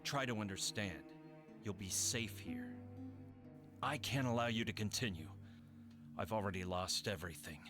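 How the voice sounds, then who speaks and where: Earnest, troubled male monster hunter, very expressive. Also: lowish voice, normal speed, long pauses. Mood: sorrowful. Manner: earnest, pleading. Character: troubled male monster hunter, desperate, guilt-ridden, secretive. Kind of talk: dramatic video game voice acting. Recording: music behind the voice.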